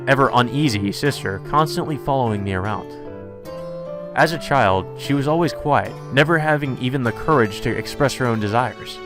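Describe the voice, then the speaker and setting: Man as visual novel protagonist: teenage boy, brooding, inward-looking, middle pitch, game music underneath. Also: natural voice.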